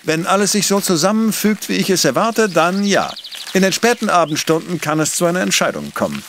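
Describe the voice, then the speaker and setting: Casting: arrogant genius sleuth, highish voice, lively melody, pompous and exact, radio-play style. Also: male voice, fairly quick, slight background noise, heavily acted. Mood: confident, secretive. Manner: pompous, precise, lecturing. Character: arrogant brilliant male professor detective. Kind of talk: German audio drama acting.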